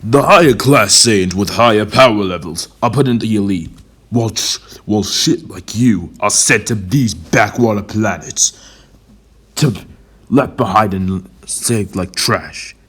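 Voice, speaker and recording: Guy doing a cocky mean anime warrior elite, husky, drawn out and taunting, fan dub style. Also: on the low side, a bit bright. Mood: contemptuous. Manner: sneering, taunting, slow and deliberate. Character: arrogant, cruel elite alien warrior, male, mocking.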